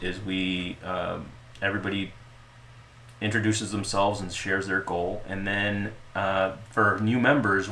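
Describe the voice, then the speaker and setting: A man with a lowish voice chats easily as an interview guest, joking around and often restarting his sentences. The recording sits in an echoey room with a faint hiss behind him. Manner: relaxed, joking, frequent restarts and fillers. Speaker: interview guest, club founder. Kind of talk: casual conversational interview.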